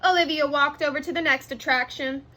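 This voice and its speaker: A woman reading a children's story aloud in an expressive narrating style. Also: high-pitched, a little fast. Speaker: storybook reader.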